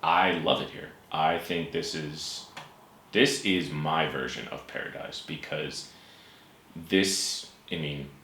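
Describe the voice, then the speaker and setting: A man's voice: very deep voice, fairly even intonation, takes it slowly, vocal fry, sharp tone. A man, hosting a casual interview and speaking hesitantly, with ums and restarts. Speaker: host. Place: room with bookshelves, at a table. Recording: echoey room with faint hiss.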